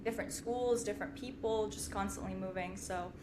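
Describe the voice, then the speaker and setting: A woman's voice: medium pitch, fairly even intonation, fairly quick, background noise. A woman in her twenties from Syria, chatting informally as a podcast guest and often dropping in "like". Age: 20s. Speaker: podcast guest, finance company partner. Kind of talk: casual conversational interview.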